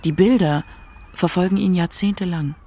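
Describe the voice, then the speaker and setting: A woman narrating a scripted radio feature in a measured, literary manner. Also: on the low side, mellow, slightly rough.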